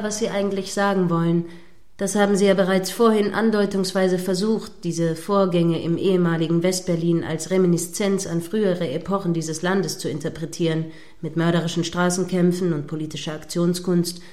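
A woman's voice: fairly low pitch, fairly even intonation, normal speed, darkish tone, natural voice. A woman playing a prim, combative radio news anchor in a radio play, speaking formally with pointed, sceptical irritation. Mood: sceptical, reproving. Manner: stern, pointed, formally articulate.

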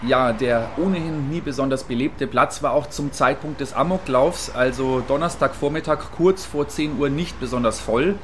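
Faint ambient noise sits behind a man playing an earnest male radio reporter in a radio drama. His middle-pitched voice gives deliberate, long-winded live reports in a factual manner. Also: close to an everyday voice. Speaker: field reporter. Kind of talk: satirical radio play as live news report.

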